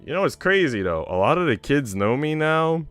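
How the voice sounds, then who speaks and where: A man's voice: fairly high pitch, big pitch swings, moderate pace, fairly bright tone, clean tone, no room sound, faint music behind. A man chatting casually on a stream in a conversational, slangy way. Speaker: streamer, works at a school. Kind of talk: casual stream chat between visual novel readings.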